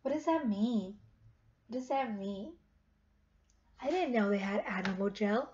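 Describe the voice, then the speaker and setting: A woman's voice: medium pitch, lively intonation, unhurried, a little mellow. A woman in her thirties reading aloud to young children as their teacher, repeating questions to them on a webcam at home. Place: living room at home, indoors. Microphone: webcam at about arm's length. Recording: dull webcam sound with clear noise.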